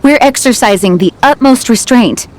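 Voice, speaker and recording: A woman's voice: a little high, lively intonation, normal speed, a little hiss or hum, lightly acted, rough recording. An actress playing a dutiful, modest young woman knight leader in a video game, speaking politely and formally. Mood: flustered, apologetic. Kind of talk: video game character acting.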